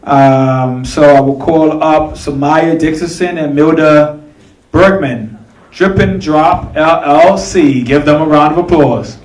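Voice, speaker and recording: A man working as emcee, calling up contestants and asking for applause in a slow, measured way. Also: fairly high pitch, a little mellow. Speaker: event emcee. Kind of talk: announcing contestants. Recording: echoey hall recorded from far off.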